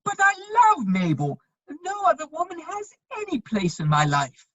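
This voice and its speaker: A man playing a witty, loyal gentleman bachelor with a husky voice, declaiming earnestly in a theatrical reading of a period comedy. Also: very high voice, wildly swooping pitch, moderate pace, no echo, muffled recording, exaggerated voice.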